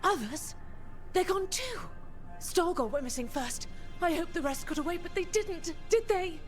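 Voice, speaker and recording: High-voiced, shaken woman dwarf. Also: expressive, normal speed, faint music behind. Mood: grieving, uneasy. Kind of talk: video game voice acting.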